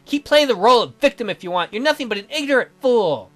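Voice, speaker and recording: A woman's voice: on the high side, lively intonation, quick pace, a bit bright, faint music behind. A woman voicing a bitter, scornful man in a visual novel read-through, accusing and contemptuous. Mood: angry.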